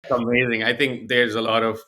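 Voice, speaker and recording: A man's voice: medium pitch, flat delivery, brisk, bright, forceful tone. Man hosting a podcast interview. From South Asia. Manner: friendly, partly scripted introduction. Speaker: podcast host.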